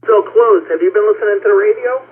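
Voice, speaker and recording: A man's voice: high-pitched, fast talker. A man working as a radio dispatcher, giving directions over a narrow two-way radio channel. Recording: narrow, hissy police-scanner radio audio.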